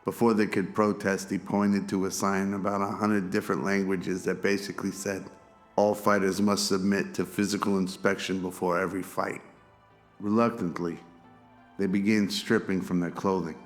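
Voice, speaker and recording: A man's voice: sits low, little pitch movement, moderate pace, faint music behind. A man reading scripted narration for a radio drama.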